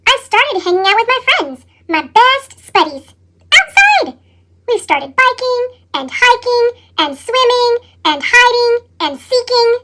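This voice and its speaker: An earnest little couch potato from a storybook, played by a woman, squeaking in an extremely high chipmunk voice. The delivery is dramatic and excitable, leaping up and down in pitch. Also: slow, noisy background, exaggerated voice. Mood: cheerful, earnest. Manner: exclaiming, dramatic, expressive. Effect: sped-up chipmunk pitch shift.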